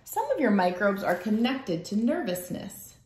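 In a noticeably echoey room at home, a woman in her thirties reads a picture book aloud. Her pitch is average for a woman, and her delivery is measured, like storytime for children. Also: very animated, a little mellow, slight background noise. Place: small room at home, indoors.

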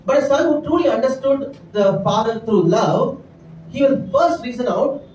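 Indian pastor preaching in an echoey church. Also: male voice, very high-pitched, lively intonation, moderate pace, a little mellow, slight background noise. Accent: Indian English. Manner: earnest preaching with frequent "right?" and "amen" asides. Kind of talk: sermon. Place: church stage with pulpit. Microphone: handheld mic. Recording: echoey church room miked from a distance.

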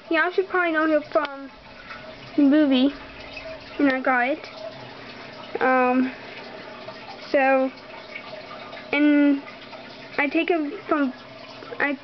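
A young girl giving a casual, halting tour of her toy horse collection, with many ums, talking straight to viewers. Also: high voice, highs cut off, slight background noise. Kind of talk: casual barn tour narration. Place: bedroom. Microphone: handheld camera close to the floor.

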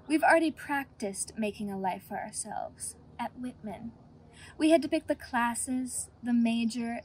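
A young woman with a slightly high voice delivers a graduation speech full of dry, self-mocking jokes. She is recorded outdoors in a home garden on a close camera mic, with a faint hiss of outdoor ambience behind her. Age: young adult. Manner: deadpan self-mocking humor. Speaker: senior class speaker. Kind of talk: comic commencement speech.

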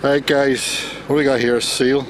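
A man in his forties with a Northern Irish accent, talking to camera in a relaxed, casual travel vlog with frequent "uh" pauses, filmed outdoors at a harbour on a handheld camera. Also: mid-range voice. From Northern Ireland. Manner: relaxed, many "uh" pauses, talks straight to viewers. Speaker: vlogger. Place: outdoors at a fishing harbour quayside. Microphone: camera held at arm's length. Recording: loud outdoor noise behind the voice.

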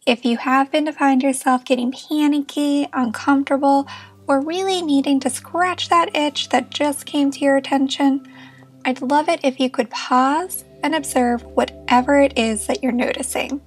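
High-voiced woman guiding a meditation. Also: steady tone, normal speed. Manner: gentle, reassuring, encouraging. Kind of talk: guided meditation narration.

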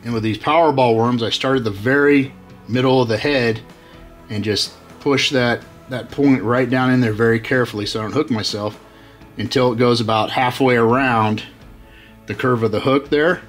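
Relaxed middle-aged man explaining fishing rigs. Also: medium pitch, normal speed, faint music behind. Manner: relaxed, many fillers and restarts. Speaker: fishing channel host. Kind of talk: casual how-to demonstration. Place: workshop with tackle-covered walls.

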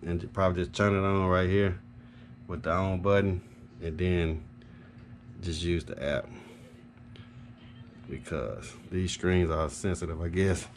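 A man's voice: deep voice, fairly even intonation, measured pace, pauses often, slight background noise. A man giving a casual, rambling, matter-of-fact product update as an HVAC technician on a handheld camera. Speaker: HVAC technician and video host. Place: small carpeted home office, indoors.

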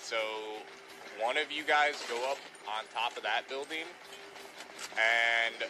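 A man's voice: mid-range voice, slow, background noise. A man streaming a video game, chatting casually in character and giving repeated, bossy squad-leader commands. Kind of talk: casual in-character gameplay chat.

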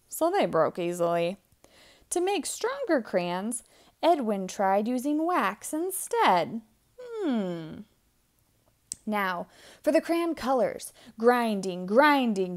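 A woman reading a children's picture book aloud in an expressive, theatrical way, stretching out key words for emphasis. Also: fairly high pitch. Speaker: children's storybook reader.

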